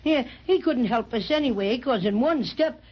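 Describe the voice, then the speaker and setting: A woman's voice: fairly high pitch, animated, moderate pace, slightly rough. A woman playing a small, boastful, good-natured toy bear in a 1930s children's radio serial. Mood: chipper, boastful. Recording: muffled 1930s radio recording.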